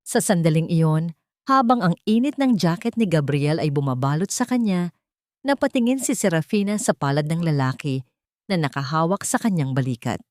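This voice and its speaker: A woman reading scripted dramatic fiction as a narrator, in a solemn, deliberate way. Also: low voice, animated, darkish tone, dry recording, clean studio sound. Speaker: story narrator.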